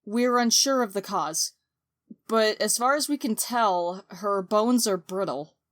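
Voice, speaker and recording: A woman acts a cartoon doctor pony, calm and clinical. Her mid-pitched voice is measured and matter-of-fact, in a dry, close recording. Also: no echo, natural voice. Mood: grave, clinical. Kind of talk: animated audio drama acting.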